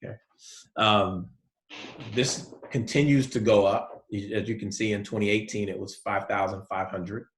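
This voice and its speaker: A male college professor giving a relaxed, soft-spoken lecture online, with many fillers. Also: lowish voice, measured pace, echoey room, poor audio.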